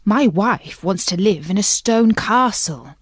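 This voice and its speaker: A woman performs a humble, reluctant fisherman from a bedtime fairy tale. He sounds doleful and pleading, speaks slowly and swings widely in pitch, in a very dry recording. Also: animated, breathy edge, no echo. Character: meek, reluctant poor old-tale fisherman.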